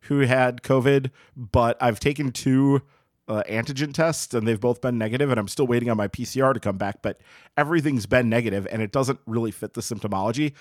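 A man's voice: mid-range voice, brisk, dry recording, clean studio sound. Man with a sniffly head cold. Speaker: podcast co-host.

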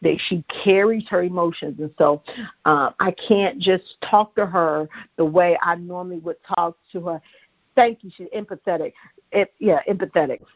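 A woman's voice: on the low side, normal speed, no echo, dull audio. A woman pastor, preaching to callers and calling for responses on a live prayer line. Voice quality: strong voice. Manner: preachy call-and-response with the listeners. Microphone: phone line.

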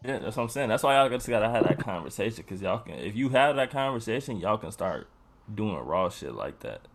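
Low-voiced young man over background noise. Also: very animated, a little fast. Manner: slangy, informal. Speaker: podcast co-host. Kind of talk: casual group discussion.

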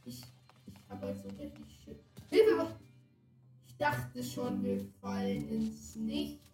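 Lively, echoey woman through a voice changer. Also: on the high side, slow, recorded from a distance. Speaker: gaming streamer. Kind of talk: casual gameplay commentary. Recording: echoey, off-mic sound, faint music behind.

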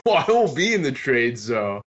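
A man's voice: mid-range voice, very animated, normal speed, a bit bright. A man co-hosting a podcast in casual banter, making dry wisecracks at his friends. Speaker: podcast co-host. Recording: slightly muffled podcast audio.

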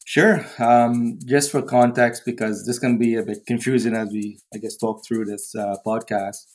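A middle-aged man from Trinidad, a podcast guest chatting warmly and pausing often with fillers. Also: mid-range voice, flat delivery, soft background music. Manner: warm, talks with many fillers and pauses. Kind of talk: casual interview chat.

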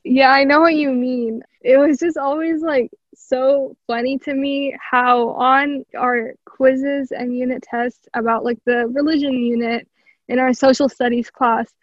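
A woman's voice: high-pitched, fairly even intonation, measured pace, no room sound, muffled recording. A young woman of Palestinian origin, a guest in a casual interview, speaking in an earnest, reflective way with frequent fillers. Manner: earnest, reflective, uses "honestly" and "like" often.

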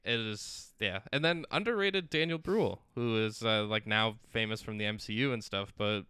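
A man's voice: fairly low pitch, moderate pace, bright, forceful tone, clean tone, no echo. A young man co-hosting a podcast, rambling casually about films with many fillers and restarts. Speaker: podcast co-host. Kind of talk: casual chat.

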